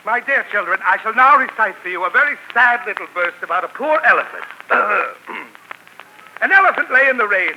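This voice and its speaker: A man's voice: high-pitched, lively intonation, moderate pace, pushed and bright, muffled recording, slight background noise, heavily acted. An actor playing a fussy, pompous old male poet who sputters indignantly and recites verse theatrically in a 1940s radio comedy. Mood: reciting.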